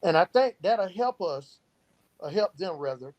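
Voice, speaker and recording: A man with a fairly high voice talks as a podcast guest. He explains his points earnestly, often framing them as rhetorical questions. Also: expressive, unhurried, darkish tone, lo-fi sound. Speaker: founder of a youth outreach organization, podcast guest. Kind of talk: earnest explanatory interview talk.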